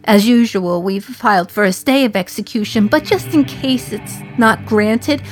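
A woman's voice: a little high, moderate pace, close to an everyday voice. Wry, wistful condemned mother over music played by an actress. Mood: bitter, resigned. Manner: wry, confiding, sardonic gallows humour. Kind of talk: dramatic radio play monologue.

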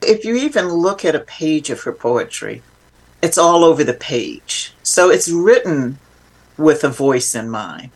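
A woman's voice: deep voice, big pitch swings, a little slow, husky. A woman speaking as an interview guest, explaining informally and with emphasis in a reflective, conversational way.

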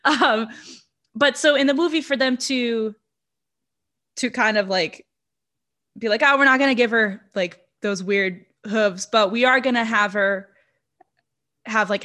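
A woman's voice: fairly high pitch, bright, forceful tone. A woman from Brazil, a podcast co-host, chatting about a horror movie with lots of fillers and laughter. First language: Portuguese. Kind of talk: casual movie review chat.

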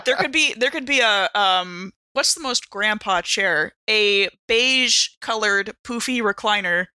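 A woman plays along in a tabletop role-playing podcast. Her voice is bright and medium-high for everyday talk, recorded close and dry. She improvises in a casual, conversational way. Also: measured pace, clear, resonant tone, no room sound. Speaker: player in an actual-play podcast.

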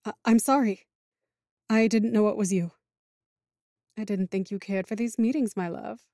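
A woman playing a commanding, coolly menacing villain leader in a scripted audio drama, speaking in a poised, measured way. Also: mid-range voice, fairly even intonation, noisy background, lightly acted. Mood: meek, deferential.

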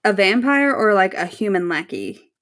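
A woman's voice: mid-range voice, normal speed, a loud crowd. A woman co-hosting a podcast, chatting casually and playfully, acting out bits as she goes. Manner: animated, playful. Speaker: podcast co-host. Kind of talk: casual chat with quoted impressions.

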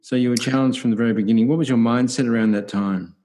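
A man interviewing a guest, asking steady, prompting questions. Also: mid-range voice, quick pace.

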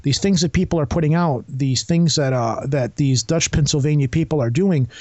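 A man's voice: a little high, normal speed, a little mellow, no echo. A man in a casual podcast chat, rambling and restarting his sentences as he thinks aloud. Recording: dull lo-fi sound.

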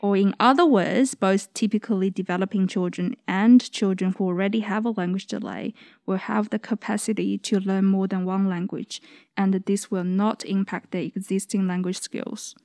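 Vrouw met warme, zachte stem. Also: female voice, medium pitch, fairly even intonation, no room sound, studio quality. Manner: earnest, measured, slightly non-native grammar. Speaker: speech pathologist, co-host. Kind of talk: explaining, informative podcast talk. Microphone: studio microphone.

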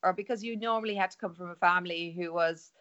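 A woman's voice: on the low side, fast talker, a bit bright, highs cut off. A middle-aged Irish woman talking earnestly and rambling as a guest on a remote podcast interview. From Ireland. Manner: chatty, rambling, earnest storytelling.

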